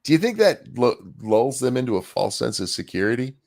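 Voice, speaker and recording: A man's voice: mid-range voice, very animated, fairly quick, creaky, a little mellow. A man hosting a casual livestream chat, talking in a loose, rambling way with restarts. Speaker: host.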